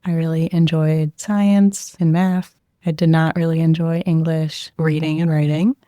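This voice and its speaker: A young woman, a medical resident, answering interview questions in a soft, reflective way, often hedging with "I think" and "like.". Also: low-pitched, flat delivery, a little slow, no echo, clean studio sound. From Israel, raised near Boston.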